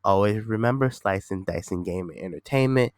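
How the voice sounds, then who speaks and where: A man's voice: on the low side, fairly quick, darkish tone, no room sound, studio quality. A man hosting his own podcast, sounding nasal and congested from a cold, rambling casually with repeats and fillers. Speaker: podcast host. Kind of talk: casual solo podcast talk.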